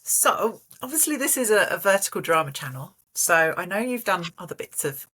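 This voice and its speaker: Low husky woman hosting an interview. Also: very animated, moderate pace, sharp tone. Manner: encouraging, reflective questions. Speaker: interview host. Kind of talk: interview host.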